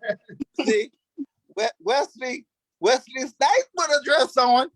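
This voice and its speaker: A man's voice: very high voice, animated, slow pace, fairly bright tone, no room sound, poor audio. A man who acts on stage, talking informally in an interview and repeating phrases emphatically for stress. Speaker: stage play cast member, actor.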